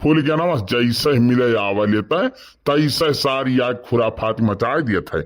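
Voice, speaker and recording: A man's voice: mid-range voice, a little slow, natural voice. A man voicing a gossipy, mischievous village man in a comedy cartoon, speaking with an Awadhi accent from eastern Uttar Pradesh. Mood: scheming, indignant.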